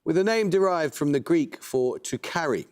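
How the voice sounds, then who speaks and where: A man hosting a quiz show, reading questions and introducing teams in a measured, precise way. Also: on the high side, expressive, clear, resonant tone. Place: TV studio.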